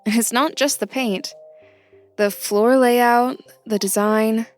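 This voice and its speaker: A woman's voice: on the high side, steady tone, slow pace, faint music behind. A woman playing a curious, wary young newcomer in an audio drama, conversational and inquisitive as she explores. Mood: curious, impressed. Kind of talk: audio fiction podcast acting.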